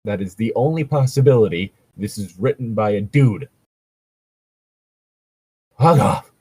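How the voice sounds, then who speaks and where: A man's voice: lowish voice, expressive, measured pace, warm tone, breathy edge, dry recording. A man doing casual comedic commentary as a co-host reading fan fiction aloud, sweary, mocking and exasperated. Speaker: podcast co-host.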